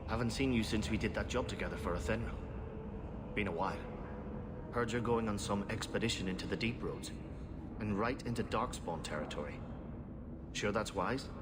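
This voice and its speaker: A lowish male voice for a backstreet poison seller in a fantasy game, done by an actor, with loud noise behind. He is chummy and shady, casual and clipped, like an old associate. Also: normal speed, pauses often, natural voice. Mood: chummy, sly. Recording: loud ambient rumble under the voice.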